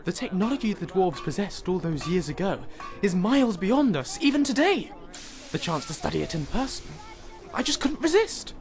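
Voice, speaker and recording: A man's voice: high-pitched, big pitch swings, normal speed, a little mellow. A man voicing a witty, timid scholar companion in a video game, articulate, eager and self-deprecating. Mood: eager, fascinated. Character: witty, bookish young male Imperial scholar, timid adventurer. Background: music.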